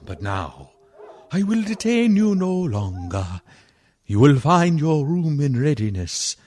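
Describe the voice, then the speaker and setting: A man playing a courteous, menacing old vampire count in a radio play, speaking slowly and ceremoniously. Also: on the high side, big pitch swings, a little mellow, full character voice. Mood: courteous, commanding. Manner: slow, deliberate, ceremonious.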